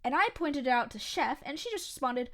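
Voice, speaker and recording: Brief: high, lively young woman, actress as a fed-up haunted-diner waitress. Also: a little fast. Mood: exasperated. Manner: exasperated, blunt, swears. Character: jumpy, exasperated young woman waiter at a haunted restaurant. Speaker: restaurant server. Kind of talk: comedic audio drama acting.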